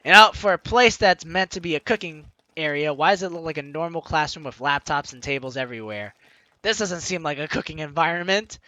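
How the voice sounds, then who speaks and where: A woman's voice: low-pitched, animated, brisk, sharp tone, no room sound. A young woman hosting a let's play, reading game text aloud theatrically with playful, chatty asides. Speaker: gaming YouTuber, host.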